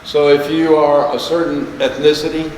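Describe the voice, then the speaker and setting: A man's voice: a little high, flat delivery, moderate pace, darkish tone, slight background noise. A man on a panel making his point earnestly. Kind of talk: panel discussion. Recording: echoey hall.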